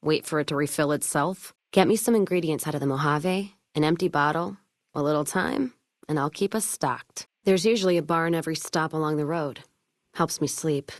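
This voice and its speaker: A woman's voice: deep voice, fairly quick, no room sound, studio quality, lightly acted. A woman voicing a hard-drinking, world-weary caravan trader in a video game, speaking bluntly with wry, folksy phrasing. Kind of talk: video game voice acting, scripted dialogue.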